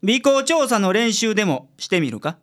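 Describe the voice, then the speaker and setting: A woman's voice: mid-range voice, big pitch swings, normal speed, lightly acted. A woman playing a weary, sardonic male detective. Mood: tired. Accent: Kansai. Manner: dry, exasperated, lecturing. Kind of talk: community radio drama.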